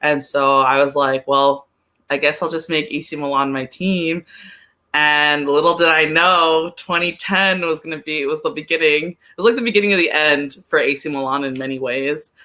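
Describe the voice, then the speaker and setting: A woman with a bright, forceful voice speaks as a podcast guest. She is chatty and informal, peppering her sports talk with "like". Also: low voice, fairly even intonation, normal speed. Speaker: podcast guest, soccer fan. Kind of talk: casual sports chat.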